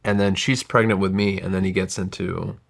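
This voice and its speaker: A man in his forties whose first language was Gujarati talks as a podcast guest. His voice sits low and carries a gravelly rasp. He tells his story in a reflective, winding manner and keeps correcting himself. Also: little pitch movement, brisk. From United States. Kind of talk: casual interview storytelling.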